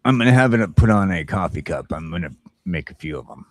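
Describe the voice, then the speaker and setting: A man's voice: sits low, expressive, fast talker, dry recording, clean studio sound. A man hosting a casual morning talk show, chatting in a joking, self-deprecating way.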